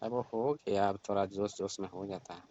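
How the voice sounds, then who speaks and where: A man's voice: fairly low pitch, fairly even intonation, fairly quick, warm tone, close to an everyday voice. A man playing an eager young man placating someone in a casual phone chat. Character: eager, impatient young man sweet-talking an auntie. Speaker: caller in a staged comic phone call. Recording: dull lo-fi phone-call audio.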